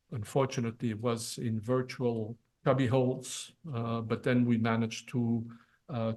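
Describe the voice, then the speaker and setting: Older man, from Belgium, mid-pitched, unscripted ceremony talk, frequent restarts. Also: a little mellow. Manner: halting, many fillers and restarts. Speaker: retiring professor. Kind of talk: ceremony presentation. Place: event hall.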